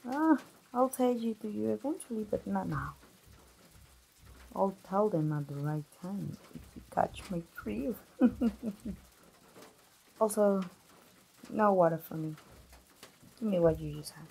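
A woman's voice: mid-range voice, animated, a little slow, creaky, pauses often, darkish tone, a little hiss or hum, poor audio. A woman, voicing a chatty, teasing young best friend in an ASMR roleplay, playful and familiar, as if speaking straight to the listener. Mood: teasing, friendly. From Mexico. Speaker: voice actor.

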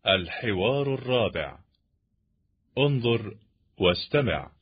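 A man's voice: on the low side, very animated, takes it slowly, breathy edge. A man reading the lines of a scripted textbook dialogue. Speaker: textbook audio speaker. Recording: dull, muffled lo-fi audio.

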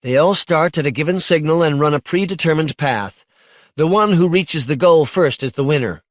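Male narrator as playful young woman, lively, teasing, audiobook science fiction, dry sound. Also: fairly high pitch, normal speed, dry recording, close to an everyday voice. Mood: playful, explaining.

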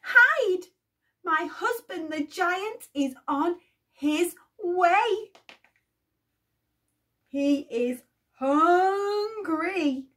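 A woman's voice: very high voice, animated, extremely slow, long pauses, distant mic, pitched above the performer's own voice, full character voice. A woman voicing a frightened giantess who urgently warns about her husband. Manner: urgent, hushed warnings.

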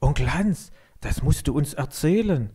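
A man's voice: on the high side, animated, unhurried, mellow, slightly rough, voice pushed up. A man voicing a curious, earnest young farm boy in a children's story. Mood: eager, pleading.

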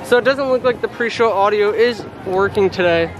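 A man's voice: sits high, moderate pace. Young man vlogging in a noisy park. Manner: chatty, explains as he walks. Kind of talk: casual walking vlog narration. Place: outdoor theme park. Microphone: handheld camera. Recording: loud crowd and outdoor noise. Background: crowd and park noise.

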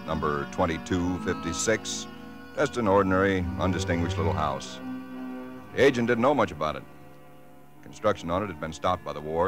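An actor playing an ordinary, uneasy married man in his thirties, narrating formally and deliberately in a 1940s radio suspense play. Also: a bass voice. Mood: sober narrating. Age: 30s. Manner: measured, formal, deliberate storytelling. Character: ordinary married chemical engineer, sober, uneasy, recounting dread. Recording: 1940s radio broadcast with music behind.

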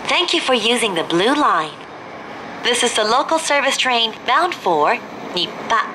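A woman's voice: big pitch swings, takes it slowly. A woman giving careful, formal scripted announcements as a recorded announcer inside a subway car. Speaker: English-language train announcer. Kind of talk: scripted public transit announcement. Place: played over speakers inside a subway car. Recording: noisy train interior, constant rumble.

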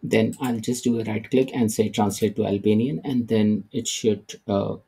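Man, middle-aged, software walkthrough, halting with fillers, husky gravelly voice. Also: fairly low pitch, fairly even intonation, rough recording. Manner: hesitant, many "uh" and "okay" fillers. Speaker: tech tutorial presenter. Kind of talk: screen-recorded software walkthrough.

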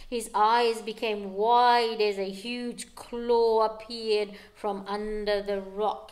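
A middle-aged woman reading a picture book aloud to young children at home, with dramatic delivery and explanatory asides. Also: medium pitch. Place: living room at home.

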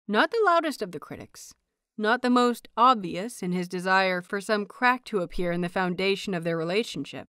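A woman reading a story aloud as an audiobook narrator, in expressive scripted narration. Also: medium pitch, no echo, studio quality. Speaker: audiobook narrator of fan fiction.

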